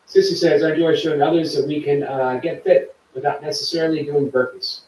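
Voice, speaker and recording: A man's voice: a little high, little pitch movement, fairly quick, echoey room, far from the mic, low-quality recording. A man hosting a live stream, chatting casually and reading viewer comments aloud. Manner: chatty, warm to viewers, reads chat aloud. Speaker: livestream host.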